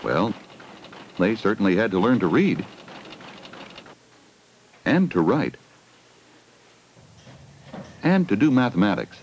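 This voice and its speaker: A man's voice: lowish voice, very animated, slow pace, long pauses, a little hiss or hum. A man reading a scripted educational film narration for children, putting simple questions to them, on a 1980 film soundtrack.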